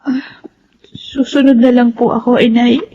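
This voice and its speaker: A woman's voice: a little high, level tone, measured pace, breathy edge. A woman playing a sorrowful, resigned young woman with a Filipino accent, in an emotional radio drama style. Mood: hurt, subdued. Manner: emotional, respectful to elders. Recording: slightly dull, soft music underneath.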